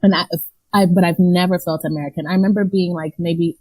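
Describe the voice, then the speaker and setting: A woman's voice: lowish voice, fairly even intonation, fast talker, a little mellow, no room sound. A young adult woman from Rwanda, talking as an interview guest about her nonprofit in a candid, chatty way with fillers and restarts. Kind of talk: casual conversational interview.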